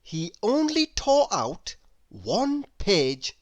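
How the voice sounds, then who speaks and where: A woman reads a children's story aloud with very animated intonation. She takes her time, and the recording is dry and close. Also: mid-range voice, drawn-out words, dry recording. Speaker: storybook reader.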